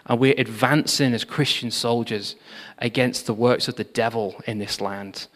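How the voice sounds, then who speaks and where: A man giving a sermon, telling revival history in an animated storytelling manner with frequent fillers. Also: mid-range voice, normal speed. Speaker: preacher. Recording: long hall reverb.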